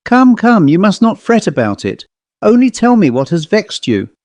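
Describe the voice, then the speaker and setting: A man voicing a gentle but firm mistress of a household who presses her servant to speak plainly. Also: mid-range voice, expressive, normal speed, dry recording, close to an everyday voice. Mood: coaxing. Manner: coaxing, then insisting on plain speech.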